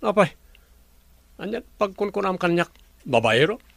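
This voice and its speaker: A man's voice: sits high, very animated, moderate pace, vocal fry, a bit bright, no echo, full character voice. A man playing a courteous resort manager. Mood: stern. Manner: polite, welcoming. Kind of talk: radio drama acting.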